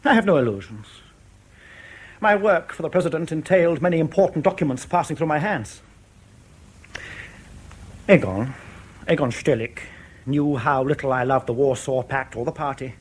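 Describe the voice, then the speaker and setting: A man's voice: on the high side, animated, a little hiss or hum, close to an everyday voice. An actor in a radio drama playing an anxious, proud East German official in his thirties who has defected, speaking formally and carefully. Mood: earnest, grave. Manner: formal, careful, precise diction with hesitant pauses.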